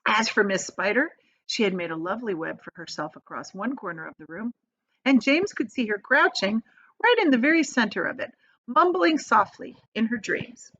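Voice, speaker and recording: Female storybook reader, teacherly and expressive, highly varied pitch, casual asides, muffled lo-fi recording. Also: moderate pace, slight background noise. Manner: expressive, explains the story in plain words as she goes. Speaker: teacher reading aloud to children. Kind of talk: animated storybook read-aloud with casual asides.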